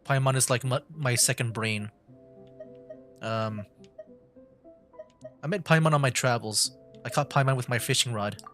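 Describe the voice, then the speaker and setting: Bright-voiced young man casually streaming a game. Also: mid-range voice, measured pace, long pauses. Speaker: livestreamer playing a game. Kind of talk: casual game commentary, reading dialogue choices aloud. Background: game music.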